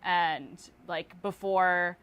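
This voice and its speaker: Relaxed young woman artist, lowish voice. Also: drawn-out words. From Philippines and Toronto. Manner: relaxed, lots of fillers and likes. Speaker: guest artist. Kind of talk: casual interview.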